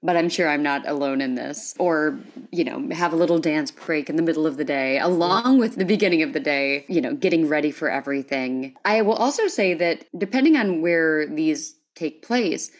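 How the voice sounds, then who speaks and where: A woman's voice: low voice, expressive, moderate pace, hardly any pauses. A woman hosting a podcast, speaking casually and chattily in a conversational interview. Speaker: podcast host.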